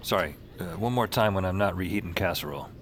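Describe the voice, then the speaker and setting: Low-voiced weary, wry night-shift call-taker. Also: male voice, expressive, quick pace, background noise. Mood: wry, downbeat. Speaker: lead character and narrator. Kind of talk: noir-like first-person audio drama narration and dialogue.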